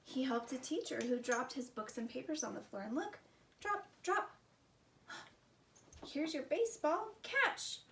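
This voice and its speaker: A woman hosting a story time for young children, talking in simple, animated, exclaiming phrases. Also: sits high, normal speed, vocal fry. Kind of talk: expressive read-aloud to preschool children. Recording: roomy echo, distant mic, background noise.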